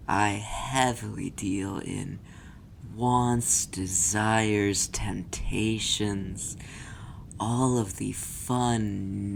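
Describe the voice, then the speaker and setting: A man playing a silky, possessive demon in an ASMR roleplay, speaking deliberately and teasingly in a low, gravelly voice. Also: a little hiss or hum. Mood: seductive, sly. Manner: slow, deliberate, teasing, theatrical. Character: possessive, silky demon claiming an owned soul.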